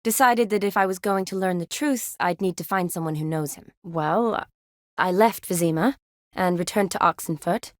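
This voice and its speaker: Game role: young female army medic, caring yet frank and teasing, actress natural mid-pitched delivery, dry close sound. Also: no echo, natural voice. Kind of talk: scripted game dialogue, naturalistic.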